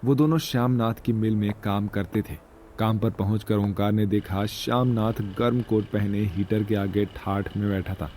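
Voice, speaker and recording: A man's voice: lowish voice, fairly even intonation, normal speed, darkish tone, slight background noise. A man narrating a scripted moral story as the storyteller.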